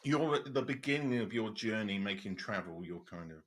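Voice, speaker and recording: A man's voice: lowish voice, animated, a little fast, fairly bright tone, a little hiss or hum. A man from Europe hosting a casual interview, asking long rambling questions with many restarts. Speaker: host.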